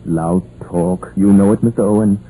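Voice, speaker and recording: An actor playing a stubborn, loyal young Welsh miner in a 1950s radio drama, wistful and argumentative, with a Welsh accent. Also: male voice, low voice, fairly even intonation, moderate pace, warm tone, close to an everyday voice. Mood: defensive, bitter. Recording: noisy, muffled vintage radio recording.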